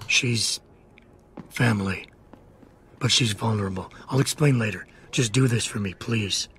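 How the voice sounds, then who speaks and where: An actor playing a guarded, gruff investigator hero in a video game, terse and restrained but earnest. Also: male voice, on the low side, a little slow, a bit bright, a little hiss or hum. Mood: businesslike, guarded.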